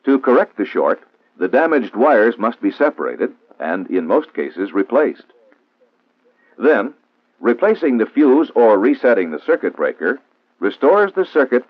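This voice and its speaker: An American man reads a scripted instructional narration in a formal, measured, didactic way, in the style of a 1960s training film. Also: lowish voice, slightly rough, no room sound. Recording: old 1960s film soundtrack, narrow phone-like band, dry.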